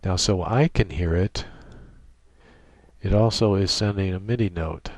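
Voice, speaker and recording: A man giving an unscripted software tutorial in a methodical, technical way with halting phrasing, close on a USB microphone. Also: deep voice, dry recording. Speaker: tutorial presenter.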